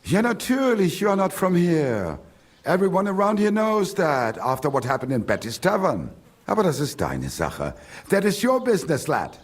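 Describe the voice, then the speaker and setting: An actor playing a grumbling, condescending village merchant in a video game, with a German accent and German phrases slipped in. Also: male voice, on the high side, wildly swooping pitch, normal speed, slightly rough, exaggerated voice. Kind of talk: video game character dialogue.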